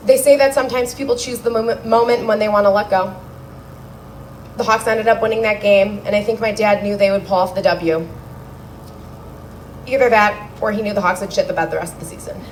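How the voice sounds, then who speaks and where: A woman's voice: mid-range voice, fairly even intonation, a little fast, low-quality recording. Young woman, eulogy at a celebration of life, sincere with wry asides, handheld mic, faint background noise. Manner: heartfelt, wry storytelling. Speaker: daughter giving a eulogy speech. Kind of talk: memorial speech read aloud. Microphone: handheld microphone.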